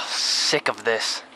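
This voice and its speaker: An actor playing an impulsive, gruff risk-taker in an audio drama, speaking in a curt, impatient way. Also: male voice, sharp tone, a little hiss or hum, heavily acted, low-quality recording. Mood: forceful, impatient.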